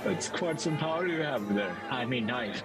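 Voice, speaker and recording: Man playing a measured, seasoned older adventurer over music. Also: medium pitch, lively intonation, over a noisy crowd, close to an everyday voice. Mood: cautious, admonishing. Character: seasoned older male adventurer, cautious about magic. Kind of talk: in-character tabletop roleplay.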